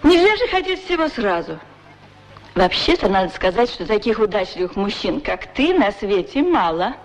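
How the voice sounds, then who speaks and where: A woman's voice: mid-range voice, very animated, moderate pace. A woman voicing a playful talking boot in a 1960s radio play, teasing and asking pointed questions. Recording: noisy 1960s radio recording.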